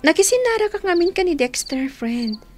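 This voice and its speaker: A woman's voice: very high-pitched, sing-song, normal speed, a little mellow, soft background music. A woman with a Filipino accent playing a loyal, wistful young office worker in a radio drama. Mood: confiding.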